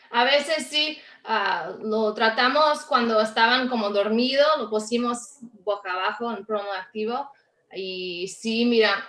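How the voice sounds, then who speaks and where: Slow, bright-voiced woman speaking non-native Spanish. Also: mid-range voice. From Canada. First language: not Spanish. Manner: halting, searching for words. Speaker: healthcare panelist. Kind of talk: unscripted panel answer. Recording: echoey room over online call.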